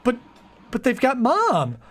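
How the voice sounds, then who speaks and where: Woman doing a panicky secretary, old radio drama, super high swoopy voice, stammers, pleads, halting slow delivery. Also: huge pitch swings, fairly bright tone, heavily acted. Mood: hysterical, tearful. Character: frantic, fragile secretary pleading for kidnapped mother. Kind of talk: melodramatic old-time radio acting.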